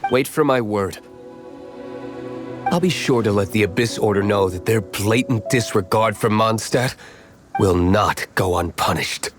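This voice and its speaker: A cool, self-assured vigilante nobleman from an anime game. His low voice is serious and faintly sardonic over clear background music. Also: slow pace, long pauses. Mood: cool, resolute.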